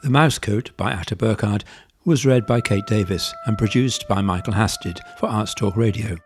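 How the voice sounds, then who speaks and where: A man with a fairly low voice presents on radio in a scripted sign-off style. Soft music plays behind him. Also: moderate pace. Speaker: radio host.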